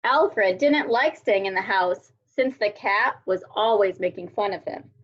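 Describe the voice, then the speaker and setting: Slow, animated woman reading a storybook. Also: mid-range voice. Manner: animated, deliberate storytelling delivery with emphasis. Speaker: read-aloud storyteller for children. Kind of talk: expressive children's picture book read-aloud. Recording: dull lo-fi sound.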